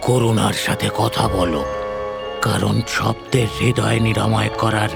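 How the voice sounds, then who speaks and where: A man voicing a wise, solemn ancient guardian spirit in an animated fairy tale, grave and formal with a gravelly voice. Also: fairly low pitch, measured pace, over loud music. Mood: wise counsel.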